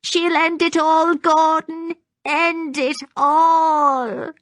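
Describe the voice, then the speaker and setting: A high-pitched, quavering old woman in an audiobook. She is addled and fearful, with a childlike temper and a rattle in her voice. The sound is close and dry. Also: level tone, drawn-out words, fairly bright tone, dry recording, heavily acted. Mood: frantic, ominous. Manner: dramatic, snivelling, childlike. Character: frightened, childlike, addled old Scottish clanswoman.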